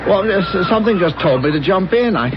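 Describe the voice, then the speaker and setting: An actor playing a meek, kindly, flustered bank teller with a hesitant stammer, in a 1970s radio drama. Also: male voice, high voice, animated, brisk, a loud crowd, heavy background noise. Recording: muffled old radio recording, music behind.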